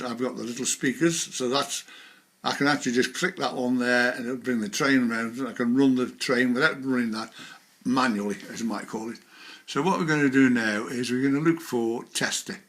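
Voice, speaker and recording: A man with a bright, clear-cut voice of middling pitch gives an informal tutorial. He talks through each step while doing it, in a practical, hands-on manner. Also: moderate pace. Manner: hands-on, talking through each click as he goes. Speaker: presenter of a how-to video. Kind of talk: informal step-by-step software demonstration.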